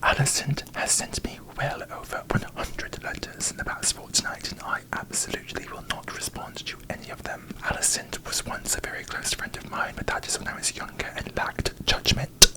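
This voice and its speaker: A man voicing a haughty, bitter young fantasy queen in a breathy ASMR whisper, with pompous, formal diction, as parody. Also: normal speed, hardly any pauses, close to an everyday voice, low-quality recording. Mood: disdainful.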